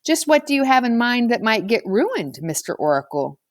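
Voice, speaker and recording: A woman's voice: on the high side, big pitch swings, moderate pace, no echo, clean studio sound, voice pushed up. A woman voicing a suspicious, challenging girl in a storybook, with a pointed, sarcastic manner. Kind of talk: children's storybook character reading.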